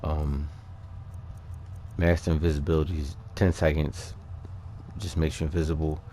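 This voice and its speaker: A man's voice: extremely low pitch, flat delivery, moderate pace, dark tone, slight background noise. A man hosting a gaming video, talking through a character build in an informal, conversational and somewhat hesitant way. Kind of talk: casual game build commentary. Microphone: voice-over on a microphone over game capture.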